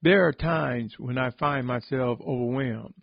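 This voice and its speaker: A man giving a solemn, sermon-like podcast reflection, exhorting deliberately with emphatic repetition in a husky, gravelly voice. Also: medium pitch, dry recording, muffled recording. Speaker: religious podcast host and preacher.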